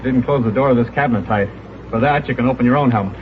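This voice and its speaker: An actor playing a confident male space hero, brisk and authoritative, in 1930s radio serial style. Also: medium pitch, a loud crowd, lightly acted. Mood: urgent, commanding. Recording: dull, noisy 1930s radio recording.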